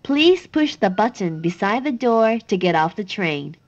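A woman's voice: mid-range voice, sing-song, slow pace, lo-fi sound. A woman reading a scripted train announcement, formal and carefully articulated. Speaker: English-language train announcer.

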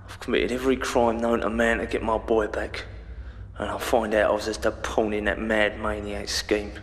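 A man's voice: on the low side, level tone, normal speed, lightly acted. An actor playing a bitter, cornered ex-convict father in a video game, blunt, with a London accent. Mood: defeated, bitter. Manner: blunt, embittered. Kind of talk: video game cutscene acting. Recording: long echoing reverb.

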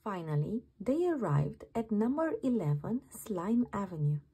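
A woman reads a children's bedtime story with very lively, swooping intonation. She takes her time over the words and has a slightly breathy, husky edge. The delivery is dramatic and expressive. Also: medium pitch, a little slow. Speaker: children's book reader.

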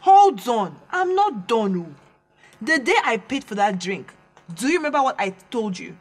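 Feisty Nigerian student, very animated. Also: female voice, on the high side, moderate pace. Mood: indignant. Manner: sharp-tongued, confrontational. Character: feisty, indignant young female student standing her ground. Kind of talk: radio drama acting.

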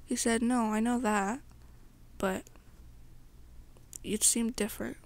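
A young woman softly narrating a second-person story in an amateur ASMR style. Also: a little high, fairly even intonation, slow.

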